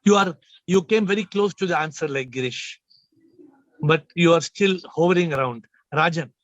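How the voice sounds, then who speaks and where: A man hosting a spiritual video call, talking with a slightly low, subdued voice, emphatic and repeating phrases as he questions listeners. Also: moderate pace, dry recording. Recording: muffled video call audio.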